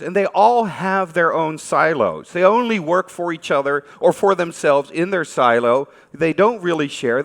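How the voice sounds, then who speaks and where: A man's voice: high-pitched, fairly bright tone. Dutch-accented man speaking in a reverberant hall. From Netherlands. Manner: conversational, addresses listeners with questions. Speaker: conference speaker. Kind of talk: informal conference talk to an audience. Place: large conference hall. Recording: reverberant hall stage recording.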